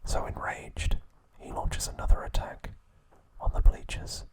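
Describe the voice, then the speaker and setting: Male ASMR host, soft unvoiced whisper, unhurried delivery, dry playful asides. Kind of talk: whispered ASMR recap narration.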